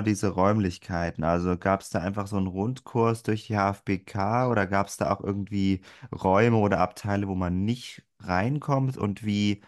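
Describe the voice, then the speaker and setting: A man hosting a casual discussion, asking curious follow-up questions with some hesitation. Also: fairly low pitch.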